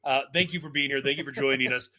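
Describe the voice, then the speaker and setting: A man hosting a casual, comedic podcast, speaking in a joking, halting way. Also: mid-range voice, steady tone, sharp tone. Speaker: podcast host.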